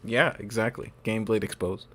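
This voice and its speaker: A man's voice: fairly low pitch, steady tone, fairly quick, a bit bright. A man live-streaming a game, chatting playfully with his viewers and joking around. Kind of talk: casual live-stream chat. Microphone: desk microphone. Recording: music playing behind.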